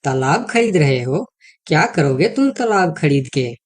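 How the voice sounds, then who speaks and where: A man's voice: on the high side, sing-song, measured pace. A man voicing a cautious, caring deer who advises a friend in a children's cartoon story. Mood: curious. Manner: concerned, advising.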